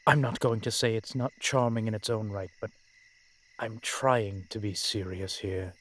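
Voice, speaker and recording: A man playing a brooding, protective vampire in an audio roleplay, speaking in formal, measured phrases with dramatic pauses. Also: fairly low pitch, steady tone, a bit bright. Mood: exasperated, teasing. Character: brooding, protective male vampire, old-fashioned and frustrated. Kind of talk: scripted audio roleplay acting addressed to the listener.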